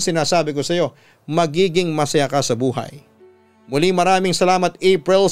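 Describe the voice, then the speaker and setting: A Filipino man hosting a radio story program, reading scripted listener letters and giving advice in an earnest, measured way. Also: fairly high pitch, clear, resonant tone. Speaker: radio host and story narrator. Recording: music under the voice. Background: background music.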